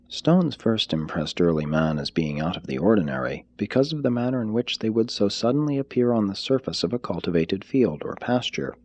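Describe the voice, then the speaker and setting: A man reading a formal text aloud as scripted narration, in a measured, deliberate manner. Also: low voice, a little mellow, slightly rough, no echo. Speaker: audiobook reader.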